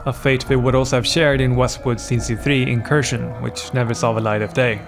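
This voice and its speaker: Guy doing a scripted lore voiceover, dry jokey asides, mid pitch, music going underneath. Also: little pitch movement, brisk. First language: Swedish. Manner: wry, playful asides. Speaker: lore video narrator. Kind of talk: scripted narration. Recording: voice-over with music bed.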